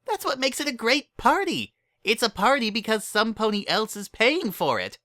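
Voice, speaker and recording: Man putting on a very high, bubbly girl pony. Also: sing-song, normal speed, sharp tone, no room sound, studio quality, exaggerated voice. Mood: excited, gushing. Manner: gushing, cheerfully dismissive. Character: bubbly, excitable young female pony party planner.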